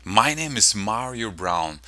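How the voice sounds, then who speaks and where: A man with a German accent giving a fast, pumped-up sales presentation as an online marketing coach. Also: on the low side, lively intonation, pushed and bright, no echo.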